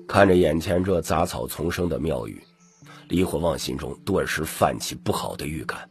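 A man narrating an audiobook in a scripted, dramatic storytelling style, with a husky, gravelly voice. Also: a bass voice, lively intonation, normal speed, soft background music.

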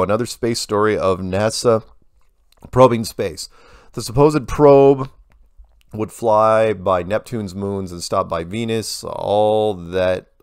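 A man's voice: fairly low pitch, no echo, studio quality. A man hosting a show, reading listener emails aloud and commenting casually with wry asides.

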